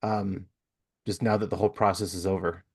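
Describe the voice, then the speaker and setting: Deep-voiced man hosting a podcast. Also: flat delivery, brisk, slightly rough. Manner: rambling, searches for words, lots of fillers. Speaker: podcast host. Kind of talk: casual interview chat.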